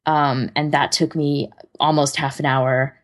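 Low-voiced woman chatting casually. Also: flat delivery, measured pace. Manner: rambling, self-deprecating. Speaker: podcast co-host. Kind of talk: casual podcast chat.

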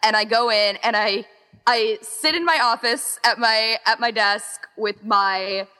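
A woman's voice: a little high, measured pace, pushed and bright, clean tone. A woman chatting casually as an interview guest, rambling along and quoting imagined remarks as she explains how she organizes things. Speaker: interviewed co-founder and organizer of a fan audio exchange. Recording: long echoing reverb.

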